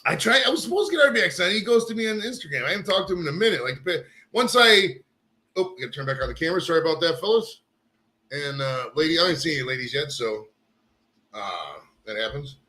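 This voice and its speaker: A man's voice: fairly high pitch, big pitch swings, quick pace, pushed and bright. A man hosting a casual podcast, talking loosely and swearing often, with lots of bro and dude. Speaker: podcast host. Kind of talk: casual chat.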